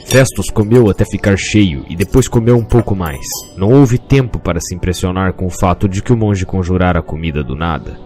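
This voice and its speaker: A man's voice: deep voice, fairly quick, hardly any pauses, a little mellow, soft background music. A man reading a scripted fantasy tale as narrator, in a solemn, literary manner.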